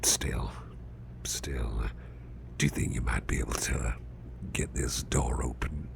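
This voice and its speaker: Casting: male actor as big grumpy boss for ASMR roleplay, extremely low gravelly murmur, halting and restrained, clear background noise. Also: steady tone, full character voice. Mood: pondering, uneasy. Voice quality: very deep, gravelly, breathy near-whisper. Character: big, strong grumpy male boss trying to stay calm. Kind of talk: whispered ASMR roleplay, personal attention.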